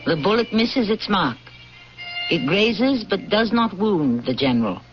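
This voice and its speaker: A man's voice: high-pitched, very animated, measured pace. A man hosting and narrating a radio mystery in a dramatic, scripted style. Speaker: host and narrator. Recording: muffled old radio broadcast. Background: music.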